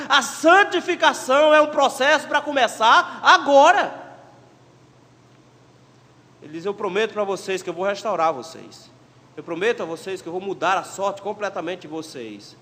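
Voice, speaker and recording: A man with a Pernambuco accent preaching a sermon as pastor, emphatic and shifting between loud exhortation and quiet asides. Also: very high voice. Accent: Pernambuco Portuguese. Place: church sanctuary. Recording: long church reverb.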